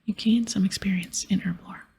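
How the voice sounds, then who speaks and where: A woman hosting an ASMR game playthrough in a breathy whisper, narrating and reading dialogue aloud close to the microphone. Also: mid-range voice, fairly even intonation, fairly quick, dark tone. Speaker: ASMR gaming host.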